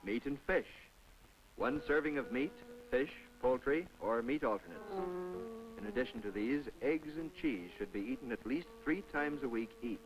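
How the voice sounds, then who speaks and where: A man's voice: mid-range voice, expressive, normal speed, music in the background, rough recording. A man narrating a scripted 1950s public information film in a folksy, instructive way, with conversational asides to the viewer. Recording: vintage 1950s film soundtrack.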